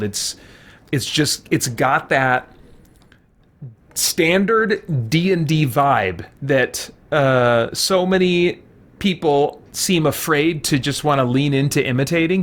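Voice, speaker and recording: Higher-voiced man giving casual gameplay commentary. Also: a bit bright. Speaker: let's play host.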